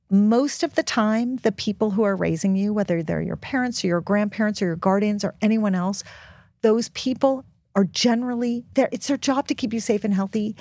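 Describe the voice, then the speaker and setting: A woman's voice: medium pitch, creaky, darkish tone, no echo, clean studio sound. A woman speaking as an expert podcast guest, giving frank, informal and emphatic advice to young listeners. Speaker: guest author and health expert.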